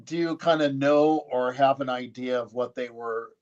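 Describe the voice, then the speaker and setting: Male panelist, muffled lo-fi sound, medium pitch, casual halting delivery. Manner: halting, informal. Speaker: panel participant. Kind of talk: casual chat. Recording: dull, lo-fi microphone.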